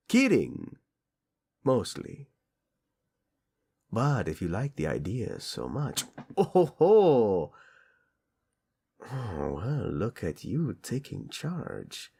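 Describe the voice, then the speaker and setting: A man playing a doting, teasing tyrant prince in a romantic audio roleplay, flirtatious and tender with a husky delivery. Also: medium pitch, huge pitch swings, extremely slow, long pauses, warm tone, full character voice. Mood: playful, flirtatious.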